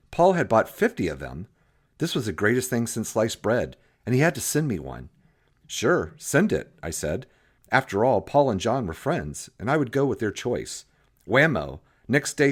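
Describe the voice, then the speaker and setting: Animated lower-voiced male audiobook narrator. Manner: animated storytelling with acted dialogue.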